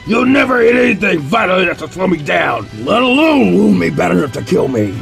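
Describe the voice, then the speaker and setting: A man bellowing as a gravelly brute villain. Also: sits high, very animated, brisk, fairly bright tone, soft background music, exaggerated voice. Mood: gloating. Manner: bellowing, gloating. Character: huge brutish muscle-bound male villain, boastful.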